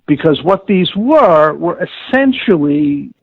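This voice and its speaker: An older American man gives a halting, carefully worded interview in a gravelly voice. He sounds articulate and somewhat formal, pausing to search for words. The audio is thin and phone-like, with a very dry sound. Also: fairly high pitch, sing-song, dry recording. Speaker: interviewee, former audiobook director and producer. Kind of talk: interview recollection. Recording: thin band-limited phone-like call audio.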